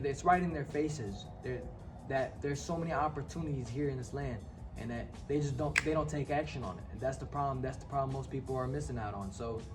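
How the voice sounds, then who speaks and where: A young man from Palestine talks in an earnest, reflective way, circling back and restarting his sentences as he tells his story. He sits a little back from the microphone, so his voice sounds distant, and clear music plays behind him. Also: medium pitch. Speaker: podcast guest. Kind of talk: casual storytelling chat.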